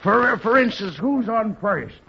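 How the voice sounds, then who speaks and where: A feeble old comedian in a high, gravelly quaver. He halts and repeats words, chuckling along. It is a noisy vintage radio recording with a studio audience. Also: animated, measured pace, highs cut off, exaggerated voice. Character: doddering, chuckling, stammering old male comedian. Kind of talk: 1940s radio comedy routine.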